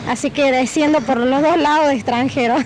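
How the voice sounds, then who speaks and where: A woman with a high voice and a Cordoban Argentine accent answers a street interview. She speaks casually and without a script. Clear street noise surrounds her. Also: steady tone, a little fast, darkish tone. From Córdoba, Argentina. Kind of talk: casual unscripted vox pop. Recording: noisy outdoor street with traffic.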